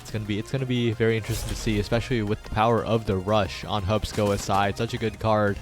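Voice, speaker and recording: A man with a fairly low voice provides live play-by-play for a video game tournament. He follows the action in long, flowing sentences. Music plays faintly underneath. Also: level tone, quick pace. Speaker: esports commentator.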